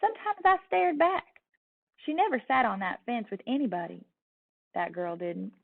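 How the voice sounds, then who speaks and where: A woman with a Southern accent reads a picture book aloud in an expressive storytelling style. Her voice sits a little high and often breaks into fry. The sound is thin and phone-like. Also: moderate pace. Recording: distant, tinny phone-like sound.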